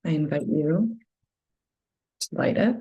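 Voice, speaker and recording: Low, slow, creaky-voiced woman guiding meditation. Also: rough recording. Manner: gentle, unhurried phrasing with long pauses. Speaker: meditation guide. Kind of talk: guided meditation, slow soothing narration.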